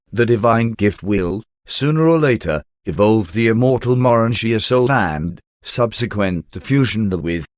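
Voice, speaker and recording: A man's voice: fairly low pitch, dry recording. Man narrating, dry close studio sound. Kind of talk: audiobook reading of spiritual text.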